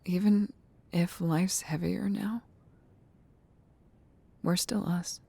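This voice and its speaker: A woman playing a gentle, nostalgic childhood friend in an ASMR roleplay, speaking softly and breathily, tender and unhurried. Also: lowish voice, fairly even intonation, dry recording, full character voice. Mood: warm. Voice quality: soft, breathy near-whisper with creaky fry. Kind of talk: ASMR roleplay, soft intimate talk.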